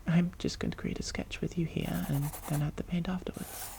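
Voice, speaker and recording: A performer voicing a shy, polite vampire artist in a soft-spoken, breathy ASMR roleplay, hesitant and gently formal, often close to a whisper. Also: medium pitch, fairly even intonation, fairly quick, close to an everyday voice. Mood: admiring, gentle.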